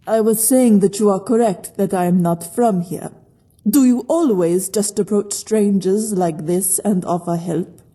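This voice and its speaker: Woman playing a very soft, dark-toned guarded traveler. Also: mid-range voice, close to an everyday voice. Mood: guarded, amused. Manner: reserved, measured, dryly amused. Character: guarded, wry foreign female traveler. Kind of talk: fantasy game character dialogue.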